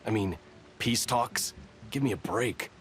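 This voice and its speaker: An actor playing a hotheaded soldier who grumbles and complains with indignant suspicion. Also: male voice, fairly low pitch, unhurried, fairly bright tone, soft background music.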